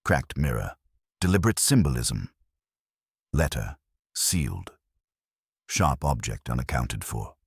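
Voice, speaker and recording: A man as a deep, unruffled police inspector. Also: unhurried, gravelly, pauses often, breathy edge, dry recording, studio quality, full character voice. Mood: calm, probing. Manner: terse, calm, understated. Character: polite, dry, unruffled male police inspector.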